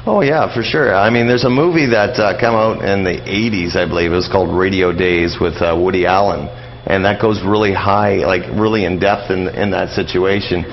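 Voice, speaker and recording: A middle-aged man with a very low, creaky voice talks as a radio interview guest. He explains and reminisces casually, rambling and repeating himself in halting runs. The sound is dull and slightly noisy. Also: steady tone. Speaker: interview guest, old-time radio enthusiast.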